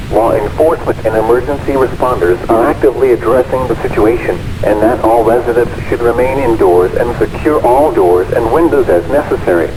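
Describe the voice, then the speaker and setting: A man's voice: mid-range voice, low-quality recording. A man reading a scripted emergency alert announcement.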